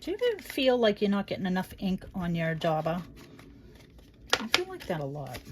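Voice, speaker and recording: A woman's voice: lowish voice, very animated, a little fast, background noise. A woman with a Boston accent chatting casually as she walks through a crafting project, thinking aloud. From Boston area, USA. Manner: chatty, thinks aloud, drops into quiet asides. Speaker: crafting channel host. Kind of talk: casual crafting tutorial chat.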